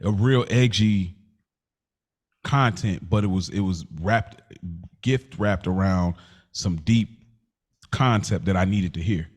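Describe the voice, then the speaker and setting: Low-voiced American man chatting casually. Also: takes it slowly, long pauses, a little mellow. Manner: informal, slangy. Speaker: podcast co-host. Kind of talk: casual banter and chat between friends.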